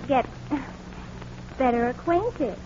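High-voiced, affected teenage girl. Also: expressive, slow. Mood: coy, admiring. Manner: affected, emphatic, coy. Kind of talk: 1940s radio drama acting. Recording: dull noisy 1940s radio recording.

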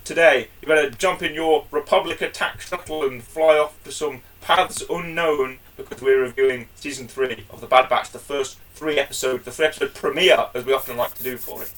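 A man's voice: mid-range voice, brisk, hardly any pauses, a bit bright, distant mic, poor audio. A man co-hosting a casual review podcast, chatty and jokey, often calling his co-host by name.

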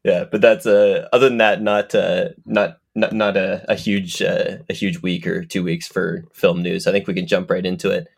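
Low, stuffed-up male podcaster. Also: level tone, normal speed, gravelly, few pauses. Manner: rambling, stop-start phrasing with repeats. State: stuffed-up from a cold. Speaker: podcast co-host. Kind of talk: casual chatty film and TV podcast talk.

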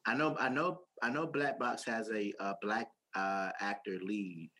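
A man's voice: lowish voice, fairly even intonation, a little slow, pushed and bright. A man co-hosting a podcast in casual chat, playful, trailing off with ums and restarting sentences. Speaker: podcast co-host.

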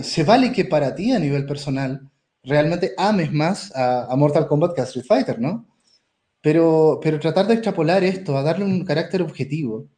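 A man's voice: fairly high pitch, warm tone. A man co-hosting a casual discussion podcast, blunt and opinionated, often restarting his words. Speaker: podcast co-host.

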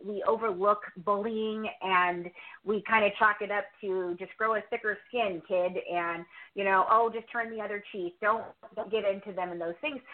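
A woman podcast host giving reflective, conversational commentary as an interview guest, leaning on repeated words for emphasis. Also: mid-range voice. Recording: band-limited, muffled call audio.

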